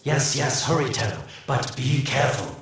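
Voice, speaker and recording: A smug, mocking game schemer. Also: male voice, mid-range voice, lively intonation, moderate pace, vocal fry, a bit bright. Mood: sly. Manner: teasing, sarcastic, chuckling. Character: sly scheming male inventor, mocking and smug. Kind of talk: video game cutscene voice acting. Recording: very echoey, distant, faint noise.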